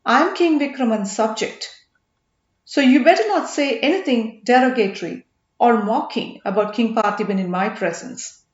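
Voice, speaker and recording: A woman's voice: on the high side, moderate pace, echoey room, highs cut off, lightly acted. Woman reading as a guarded young prince in disguise. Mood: firm. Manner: guarded, loyal, firm. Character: proud young man posing as a gem merchant.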